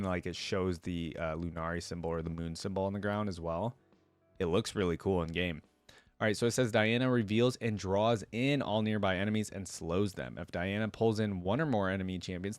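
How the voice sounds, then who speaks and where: Low-voiced man doing casual reaction commentary. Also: no echo. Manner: enthusiastic, informal. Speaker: reaction video host. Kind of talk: casual enthusiastic reaction commentary, reading on-screen text aloud.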